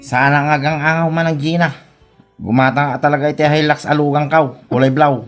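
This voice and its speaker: A woman's voice: low-pitched, steady tone, brisk, faint music behind, close to an everyday voice. A woman playing a humble, easily flustered country suitor in a comedy audio drama, given to exclamations. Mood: proud. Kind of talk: Ilocano comedy radio drama acting.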